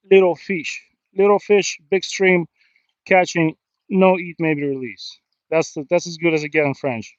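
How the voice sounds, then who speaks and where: American male live streamer, slightly high voice, rambling and self-mocking, dry but muffled lo-fi outdoor recording. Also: no echo. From New Jersey, United States. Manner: rambling, self-deprecating, joking. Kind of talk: casual live stream chat. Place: outdoors in a river in a park.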